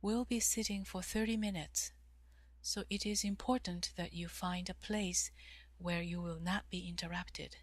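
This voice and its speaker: A woman leading a guided meditation in a calm, measured way, with long pauses and soft, breathy phrase endings. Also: fairly low pitch, no room sound. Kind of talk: guided meditation, slow instruction.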